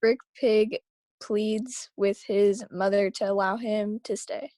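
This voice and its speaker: A woman's voice: medium pitch, fairly even intonation, slow pace, warm tone, no echo. A woman student reading scripted action descriptions aloud at an online table read.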